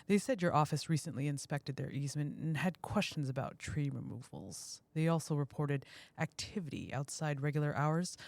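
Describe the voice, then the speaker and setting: A woman's voice: low voice, a little mellow, no echo. An authoritative woman detective in an audio drama. She sounds cool and official, laying things out firmly and evenly in a very dry recording. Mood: polite, official. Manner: measured, official, firm.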